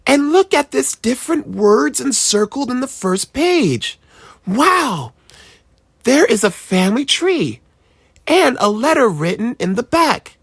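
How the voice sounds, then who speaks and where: A storyteller voicing a high, excited little boy. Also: vocal fry, husky, no room sound, studio quality, heavily acted. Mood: curious. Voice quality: high, breathless. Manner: gushing, quick. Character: excited young boy digging for treasure. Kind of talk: children's storybook read-aloud.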